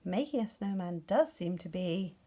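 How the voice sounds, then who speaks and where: Storybook rabbit, male played by a woman: gloomy, haughty expert, stern and lecturing, with lively pitch swings. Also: moderate pace. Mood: grudging. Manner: self-important, lecturing, severe. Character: grumpy, gloomy, pompous know-it-all male rabbit. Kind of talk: children's storybook character.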